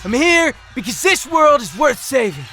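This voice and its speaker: A man performs an earnest young audio-drama hero with a high, bright voice. He projects with passion and defiance, and his pitch moves freely. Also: big pitch swings, moderate pace, faint music behind, heavily acted. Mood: defiant. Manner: impassioned, projected.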